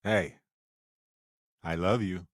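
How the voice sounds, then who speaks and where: Deep-voiced, wry space explorer. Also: male voice, steady tone, very slow, no echo, clean studio sound, heavily acted. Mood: tender, heartfelt. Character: warm, witty, adventurous male space explorer companion. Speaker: video game voice actor. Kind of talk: acted video game companion dialogue.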